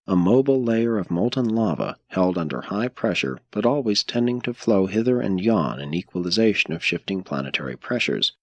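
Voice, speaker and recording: A man reading scripted audiobook narration in a measured, solemn and formal manner. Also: low-pitched, no echo.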